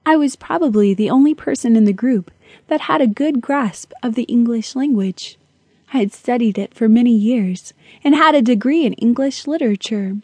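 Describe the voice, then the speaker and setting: A woman reads a memoir aloud in a calm, measured way, her voice a little high, mellow and soft-edged. There is a slight breathy huskiness to her tone. The studio sound is dry and close. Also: no room sound. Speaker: audiobook narrator.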